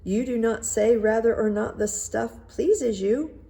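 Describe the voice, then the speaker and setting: A woman's voice: fairly high pitch, a little slow, vocal fry, warm tone, voice pushed up. A woman playing a sly male swindler weaver in a storybook, courteous and coaxing.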